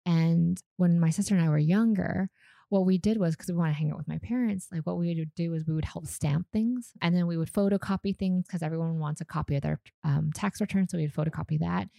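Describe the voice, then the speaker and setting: Woman, 30s, from Canada, Vietnamese first language; deep, warm, slightly gravelly, dry close sound, casual storyteller. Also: a little fast, no echo. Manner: relaxed, chatty, frequent fillers and small laughs. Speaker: guest.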